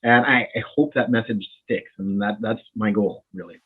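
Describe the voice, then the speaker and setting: A man who grew up in Canada after moving from the Bahamas, speaking with a Winnipeg accent as a doctor in a casual interview, friendly and animated, often repeating words as he starts a thought. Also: lowish voice, normal speed, husky. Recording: tinny phone-like line.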